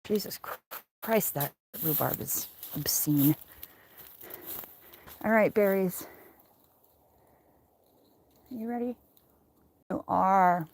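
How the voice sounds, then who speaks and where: A woman with a mid-pitched voice chats off the cuff on an outdoor walking livestream. She rambles in a playful, wry and salty way. There is noticeable noise on the recording. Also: lively intonation, drawn-out words, gravelly, long pauses, darkish tone. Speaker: livestreamer hosting a walk-along. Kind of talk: casual livestream chat while walking. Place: outdoors on a neighborhood walk. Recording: noisy outdoor handheld recording.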